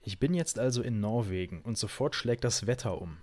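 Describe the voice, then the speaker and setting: A man's voice: fairly low pitch, expressive, brisk, darkish tone. A man from Germany, narrating a casual travel documentary as a vlogger.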